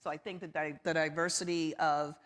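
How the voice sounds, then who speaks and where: A woman's voice: low voice, level tone, brisk, a bit bright, big-room sound. A woman on a discussion panel, explaining earnestly with many fillers.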